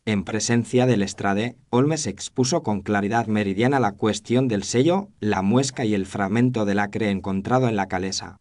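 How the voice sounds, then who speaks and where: A man with a somewhat low voice narrates a period detective tale. His delivery is scripted, literary and measured, told as a first-person account. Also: darkish tone.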